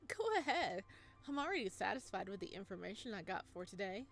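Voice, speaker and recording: A woman's voice: medium pitch, lively intonation, a little fast, a bit bright, faint music behind. A woman voicing a teasing, playful young librarian who is an old friend of the hero, read from a visual novel. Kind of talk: reading a visual novel aloud.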